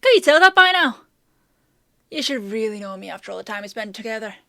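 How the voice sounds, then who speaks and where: Woman acting a high-voiced, teasing fantasy apothecary woman. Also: sing-song, a little fast, creaky, pushed and bright, no room sound, studio quality, heavily acted. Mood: playful teasing. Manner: playfully scolding, sardonic, chatty. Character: teasing, bossy female apothecary shopkeeper in a fantasy town. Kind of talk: fantasy audio roleplay, spoken to the listener.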